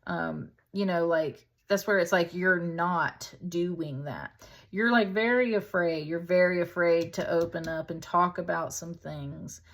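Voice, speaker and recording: A woman's voice: on the low side, fairly even intonation, a little slow. A woman giving a casual, conversational tarot reading in an informal, chatty way, as if thinking aloud. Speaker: tarot reader, host.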